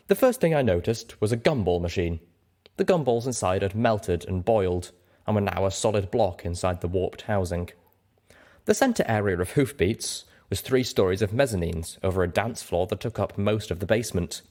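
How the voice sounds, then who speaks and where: Low-pitched man narrating with lively intonation. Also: normal speed. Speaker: audiobook reader. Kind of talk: animated scripted fiction narration.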